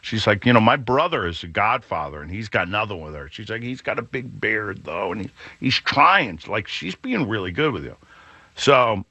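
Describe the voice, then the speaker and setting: A middle-aged man hosting a radio show, telling a rambling story in a booming, animated voice that keeps stopping and restarting. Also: normal speed, husky, no echo. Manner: rambling, self-interrupting, laughs at own jokes. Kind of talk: casual storytelling chat.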